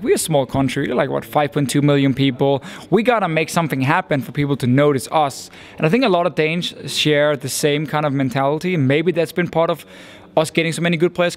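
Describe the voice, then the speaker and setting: A young man with a Danish accent, an esports caster answering interview questions in an earnest, thoughtful way. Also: on the high side. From Denmark. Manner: earnest, thoughtful, with frequent restarts and fillers.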